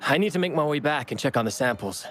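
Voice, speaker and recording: A man's voice: a little high, expressive, fast, a bit bright, slight background noise. A man playing an easygoing, evasive young researcher in a video game, polite and musing.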